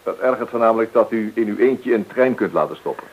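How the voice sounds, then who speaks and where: An actor playing a gruff, unfriendly train passenger in a radio drama, stiff and hostile. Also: male voice, fairly low pitch, animated, quick pace. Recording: thin phone-like radio play recording.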